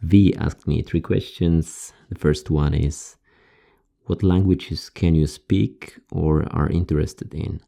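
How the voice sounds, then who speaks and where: A man with a Polish accent answers viewer questions in a relaxed, hesitant way. His voice sits extremely low and has a gravelly fry. The tone is very dark. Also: slow pace. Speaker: ASMR creator.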